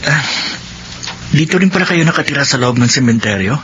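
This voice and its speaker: An actor playing a polite, guilt-ridden young son in a Filipino radio drama, respectful and hesitant. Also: male voice, mid-range voice, expressive, brisk, background noise, close to an everyday voice. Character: polite, guilt-ridden young man mourning his mother. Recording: dull radio recording over clear music.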